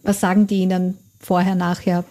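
A woman's voice: fairly low pitch, level tone, normal speed, mellow. A woman interviewing an author, asking curious, probing questions with a few hesitations.

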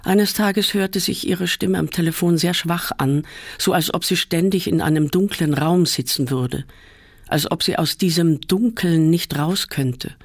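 A man reading a first-person literary essay aloud in a measured, calm way, often slowing down. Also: a little high, no room sound, clean studio sound. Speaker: reader.

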